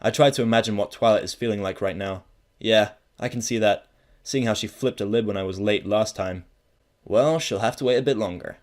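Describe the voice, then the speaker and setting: A man's voice: fairly low pitch, fairly quick, a little mellow. A young man narrating a story in the first person in a dry, sarcastic, grumbling manner.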